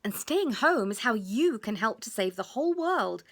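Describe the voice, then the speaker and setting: A woman voicing a gentle, reassuring mother in a children's storybook, soothing and encouraging as she explains simply. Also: fairly high pitch, very animated, normal speed.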